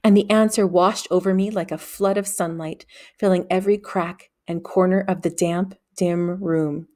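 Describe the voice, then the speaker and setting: A woman reading a storybook aloud in expressive narration. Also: fairly low pitch, normal speed. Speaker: audiobook reader.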